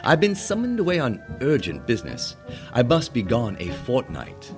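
A man's voice: mid-range voice, huge pitch swings, moderate pace. A man playing a dashing suitor and storyteller in a radio drama, grandiose and flowery in manner. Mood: courtly, wooing. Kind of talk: theatrical radio drama acting. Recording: music behind the voice.

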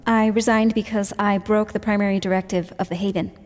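A woman's voice: mid-range voice, steady tone, fairly quick, darkish tone, close to an everyday voice. A woman playing a guarded, candid witness under questioning in an audio drama, answering tersely and carefully. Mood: contrite, composed. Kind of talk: fiction podcast audio drama. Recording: echoey hall with long reverb.

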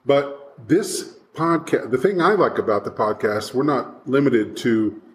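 A man hosting a casual podcast, rambling and halting, with self-deprecating chuckles. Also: medium pitch, lively intonation, echoey room, slight background noise. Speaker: podcast host.

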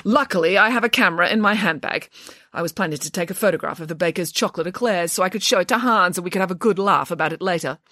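A woman's voice: lowish voice, quick pace, bright, forceful tone, dry recording, studio quality. A woman voicing a small, fierce pig nanny who speaks grandly with haughty, theatrical outrage.

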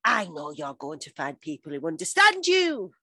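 A woman voicing a lovesick, earnest adolescent boy reading out a love letter in a drawn-out, overwrought way. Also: low voice, huge pitch swings, bright, forceful tone, dry recording, clean studio sound, voice pushed down, exaggerated voice.

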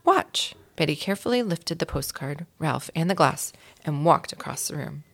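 A woman reading a children's story aloud in an expressive storytelling style, with a creaky voice. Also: low voice, moderate pace. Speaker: volunteer reader of a children's book.

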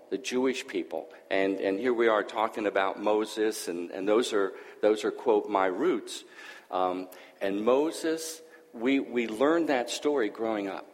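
Greek-born man, deep voice, church testimony, easygoing with pauses, hall reverb. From Greece. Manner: conversational, hesitant fillers. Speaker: church guest sharing testimony. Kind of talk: personal testimony.

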